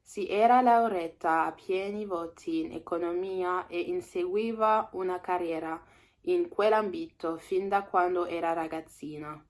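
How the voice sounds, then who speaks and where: Young woman doing a casual read-along, lowish voice, stops to guess words. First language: French. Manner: reads slowly, pauses to translate and guess words. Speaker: language learner reading aloud.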